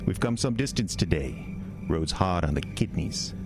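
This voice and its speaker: An actor playing a sinister, smooth-talking carnival showman who coaxes and draws out his lines. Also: low-pitched, animated. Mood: coaxing. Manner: theatrical, coaxing, drawn-out lines. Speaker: carnival showman in a radio drama. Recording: music bed behind the voice.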